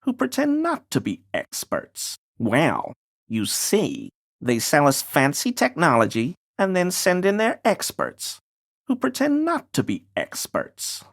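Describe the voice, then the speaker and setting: A man's voice: fairly high pitch, wildly swooping pitch, slow pace, creaky, dry recording, studio quality, exaggerated voice. An actor playing a paranoid, furtive deliveryman in a video game, conspiratorial and evasive, deferential yet smug. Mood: conspiratorial, earnest. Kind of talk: video game character dialogue.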